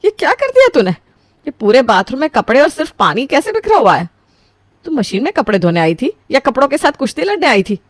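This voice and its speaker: A woman's voice: high-pitched, big pitch swings, a little fast, no room sound, clean studio sound. A woman voicing a scolding mother-in-law. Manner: scolding, bossy, calls everyone beta. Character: strict frugal middle-aged mother-in-law, scolding. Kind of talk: scripted family story voicing.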